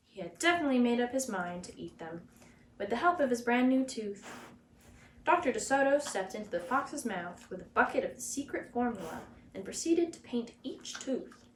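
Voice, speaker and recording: A woman narrating a children's story as a reader, in an expressive storytelling style. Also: a little high, normal speed. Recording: echoey room, faint background noise.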